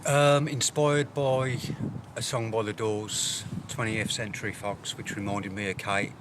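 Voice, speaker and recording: Low-voiced man reflecting frankly over street noise. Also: flat delivery. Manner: frank, reflective, hesitant with long pauses. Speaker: poet. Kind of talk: candid personal talk about own poems.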